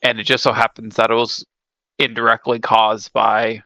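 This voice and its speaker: Bright-voiced man chatting on a podcast. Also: fairly low pitch, completely flat delivery, moderate pace, no room sound, poor audio. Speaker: podcast co-host. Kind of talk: casual explanatory podcast chat.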